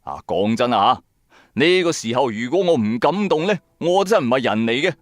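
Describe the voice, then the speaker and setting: A man narrating a radio drama in the first person, colloquial, wry and expressive. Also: mid-range voice, normal speed, no echo, studio quality. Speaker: storyteller, narrator.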